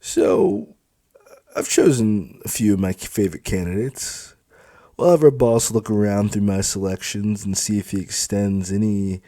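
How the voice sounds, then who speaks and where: A man's voice: fairly low pitch, unhurried, warm tone, no echo, clean studio sound, close to an everyday voice. A man voicing a smug, crude club supervisor in an audiobook, dismissive and impatient with a sneering manner. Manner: sneering, exasperated, mouthy. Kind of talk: dramatised audiobook dialogue.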